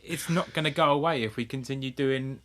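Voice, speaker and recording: Podcast co-host, southern English male, bright punchy voice, blunt and sweary, matey banter. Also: medium pitch, a little fast. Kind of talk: casual comedic podcast chat.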